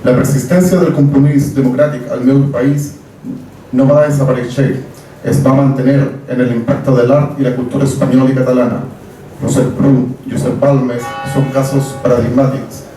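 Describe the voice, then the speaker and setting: A young Chilean man with a Chilean Spanish accent, a historian giving a formal talk from notes, measured and with frequent "eh" fillers. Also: mid-range voice, mellow, slight background noise. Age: young adult. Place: event hall. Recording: echoey hall, mic far off.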